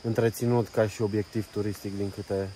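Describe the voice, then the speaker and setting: A man's voice: on the low side, flat delivery, dark tone. A man explaining like an informal tour guide in a travel vlog, recorded outdoors. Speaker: travel vlogger. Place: outdoors near a jungle railway bridge. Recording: distant mic, noisy outdoors, insects.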